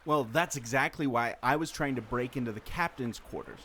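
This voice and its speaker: A man's voice: mid-range voice, fairly quick, sharp tone. A man playing a resourceful, know-it-all former mariner who explains things emphatically in a radio adventure. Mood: purposeful. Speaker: podcast host acting in audio drama. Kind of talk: amateur radio adventure acting. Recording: noticeable ambient background noise.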